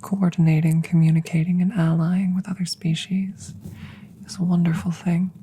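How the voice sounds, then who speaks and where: A woman voicing a curious, calm, gentle female alien scientist in a measured, formal whisper for an ASMR roleplay. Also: fairly low pitch, a little hiss or hum, close to an everyday voice. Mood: earnest, measured. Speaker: audio roleplay voice performer. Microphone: close microphone.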